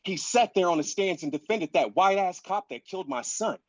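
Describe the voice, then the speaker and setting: A man's voice: high voice, expressive, a little fast, no room sound, dull audio. An actor playing a grieving, furious father in a dramatic script reading, speaking emphatically and incredulously, repeating phrases for weight. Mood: accusing, incredulous.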